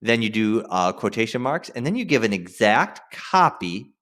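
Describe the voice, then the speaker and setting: Male teacher with very animated pitch. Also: normal speed. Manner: informal, directive, emphasizes key phrases and checks with "okay?". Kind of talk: instructional screen-share lesson addressed to students.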